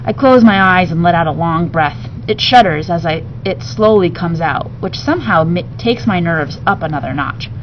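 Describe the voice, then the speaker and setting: A woman's voice: on the low side, lively intonation, moderate pace, hardly any pauses. Muffled woman reading a story aloud. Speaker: teacher reading a book aloud. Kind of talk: expressive read-aloud narration of a children's novel. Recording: muffled home recording, faint background noise.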